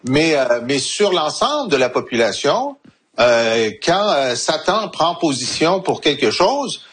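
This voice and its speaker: A man's voice: a little high, sing-song, a bit bright. A man commenting on a TV panel, building his point through extended metaphors. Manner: figurative, measured argument.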